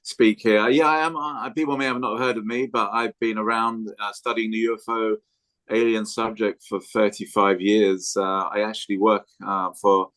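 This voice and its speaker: A man from England introducing himself as a guest speaker on a video call, hesitant with many pauses. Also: lowish voice, little pitch movement, fairly bright tone, rough recording.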